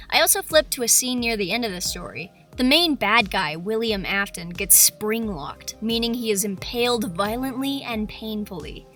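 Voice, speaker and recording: A woman with a high, very bright voice narrates a hands-on craft video. She follows a script but adds playful, self-deprecating asides in an animated way. Light music plays behind her. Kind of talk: scripted craft tutorial voice-over with personal asides. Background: background music.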